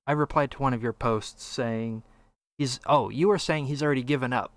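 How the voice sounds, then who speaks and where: A man's voice: medium pitch, brisk, no room sound. A man co-hosting a casual podcast discussion, conversational, reading out passages and asking his co-host's view. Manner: conversational, reads quotes aloud, asks for opinions. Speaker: podcast co-host.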